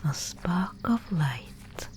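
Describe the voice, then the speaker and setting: A woman playing a mysterious, solemn guide of a gloomy fantasy realm in an ASMR roleplay, whispering breathily and close to the microphone with deliberate pauses. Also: lowish voice, lively intonation. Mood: hushed, solemn. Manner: slow, hushed, solemn storytelling with deliberate pauses.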